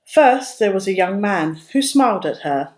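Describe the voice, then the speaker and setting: A woman reading a children's storybook aloud as narrator, in a careful, expressive way. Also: medium pitch, normal speed.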